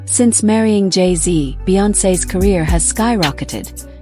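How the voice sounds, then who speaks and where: A woman's voice: mid-range voice, slow, a little mellow. A woman reading scripted narration as the narrator of a video. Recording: music bed behind voice.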